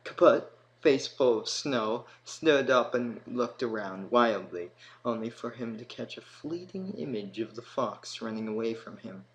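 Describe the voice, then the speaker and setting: A man narrating a scripted fantasy story in a dramatic manner, with emphatic swells and hushed drops. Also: medium pitch, expressive, a little slow, poor audio. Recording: clear background noise.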